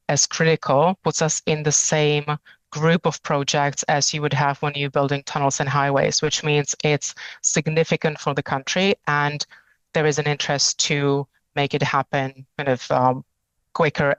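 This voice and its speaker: Woman, 40s, from the Czech Republic, company CEO, measured with many fillers, even flat delivery, dry close sound. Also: deep voice, smooth, no echo, rough recording. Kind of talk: business interview answers.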